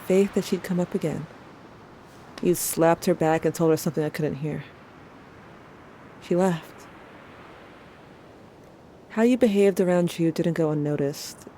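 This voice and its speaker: Wistful, mellow, lowish-voiced teenager played by a woman. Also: measured pace, pauses often, a little hiss or hum, close to an everyday voice. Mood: nostalgic. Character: wistful, self-doubting teenager recalling a day with a sibling. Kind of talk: intimate audio drama monologue.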